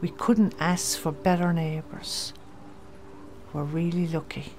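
A woman playing a gentle, hopeful wife among survivors in a comic dub, with a soft delivery. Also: lowish voice, steady tone, takes it slowly, slight background noise. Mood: hopeful, tender. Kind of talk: amateur comic-dub voice acting.

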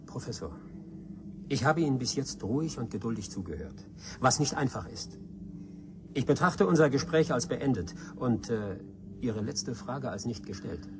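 A man's voice: medium pitch, expressive, moderate pace, background noise, lightly acted. Man playing a stern, restrained spaceship commander. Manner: formal, restrained, terse. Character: stern, dutiful male military spaceship commander, guarded. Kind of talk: 1980s German science fiction radio drama acting.

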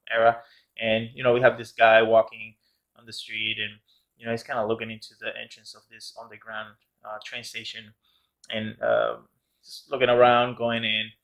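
A man's voice: fairly low pitch, gravelly, fairly bright tone, poor audio. A man casually narrating his art process as host of a tutorial channel, rambling with many fillers and restarts. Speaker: background artist and channel host.

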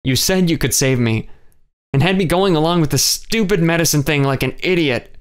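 A man voicing a distraught young female horned creature who is tearful, self-loathing and quick to anger. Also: close to an everyday voice. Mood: betrayed. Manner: tearful, strained, breaking into high cries.

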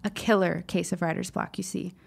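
Woman acting a creaky-voiced, earnest teenage girl. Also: lowish voice, fairly even intonation, fairly quick, full character voice. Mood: earnest, dramatic. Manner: formal, wordy, dramatic phrasing. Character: precocious, earnest fifteen-year-old girl writer, shaken but insistent. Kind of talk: audio drama acting.

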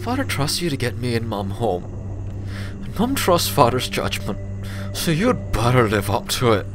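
A man's voice: mid-range voice, very animated, a little slow, music in the background. A man voicing a grave, earnest young filly pony who explains things precisely and wordily in a storybook reading. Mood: stern, pleading. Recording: clear background noise.

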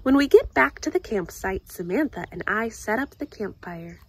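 A woman reading a children's picture book aloud in an expressive, deliberate storytelling manner. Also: mid-range voice, breathy edge, dry recording, rough recording. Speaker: story time reader.

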